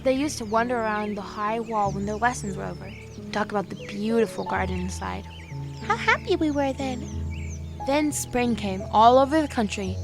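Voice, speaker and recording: A gentle young woman, played by an actress, reads a storybook tale aloud over clear background music. Her voice sits at a middle pitch, and her telling is measured and earnest. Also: lively intonation, lightly acted. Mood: earnest storytelling. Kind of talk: storybook narration in an audio drama.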